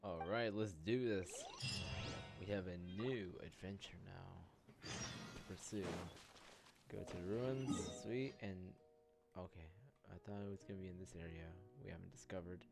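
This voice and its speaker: A man's voice: low-pitched, unhurried, creaky. A man giving casual gameplay commentary, thinking aloud informally and reading dialogue choices out. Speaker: game streamer playing through a story quest. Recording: game music behind the voice.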